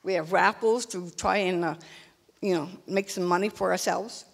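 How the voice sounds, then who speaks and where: A woman's voice: fairly low pitch, fairly even intonation, moderate pace, gravelly. An older woman speaking off the cuff at a town meeting, hesitant, with many fillers, into a handheld mic. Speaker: senior group representative. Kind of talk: unscripted remarks at a public meeting. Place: large meeting hall. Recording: long hall reverb.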